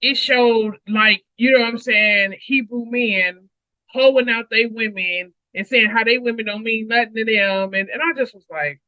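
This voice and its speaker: A woman's voice: fairly high pitch, unhurried, no room sound. A woman co-hosting a Bible read-along, speaking informally in casual chat and reading aloud, on a muffled home stream mic.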